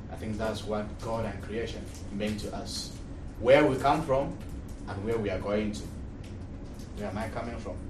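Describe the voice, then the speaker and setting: Cameroonian priest teaching in an echoey, noisy room. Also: male voice, on the low side. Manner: repeats phrases for emphasis. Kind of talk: religious class lecture. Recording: distant mic, echoey room, loud noise.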